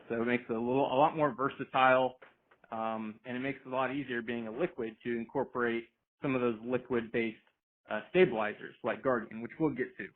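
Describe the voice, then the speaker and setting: Halting man on a tinny phone line. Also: medium pitch, slight background noise. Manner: halting, often repeating words. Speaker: agricultural products specialist. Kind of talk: informal explanatory presentation.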